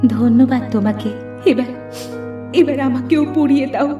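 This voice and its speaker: Helpful enchanted lemon for a fairy-tale cartoon, female performer: gentle, explanatory, raised pitch over background music. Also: normal speed, reverberant, distant mic, natural voice. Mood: pleading. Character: kindly, helpful talking magic silver lemon.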